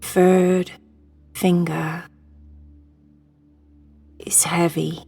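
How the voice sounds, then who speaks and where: A woman guiding a sleep meditation in a hushed, soothing, breathy voice that often nears a whisper. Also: on the low side, flat delivery, drawn-out words, faint music behind. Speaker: meditation guide.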